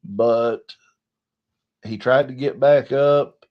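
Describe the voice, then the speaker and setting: Male podcast host, Southern US accent, folksy casual wrestling talk, mid pitch, dull lo-fi recording. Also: steady tone, very slow. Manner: folksy, colloquial storytelling. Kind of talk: casual wrestling recap chat.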